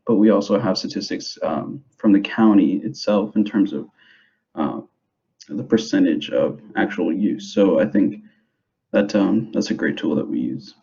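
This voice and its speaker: A young man who grew up in the United States, giving an informal presentation to parents in a hesitant, halting way, on a dull, muffled recording. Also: sits low, gravelly, dark tone. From grew up in the United States, born in El Salvador. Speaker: school substance abuse prevention specialist.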